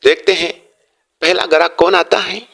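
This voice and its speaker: A man's voice: high-pitched, fairly even intonation, normal speed, gravelly, fairly bright tone, voice pushed up, full character voice. A man voicing a humble, eager painter in a cartoon story, speaking politely and deferentially.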